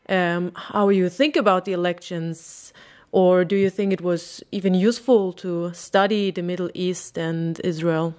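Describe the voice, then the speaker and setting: A woman interviewing a student guest, asking her questions in a measured way. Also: medium pitch, clean tone.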